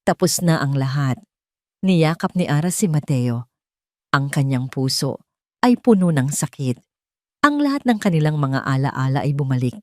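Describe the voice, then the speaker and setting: Female narrator, low register, earnest and dramatic, for a romance audio story; dry, close sound. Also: big pitch swings, moderate pace, no echo.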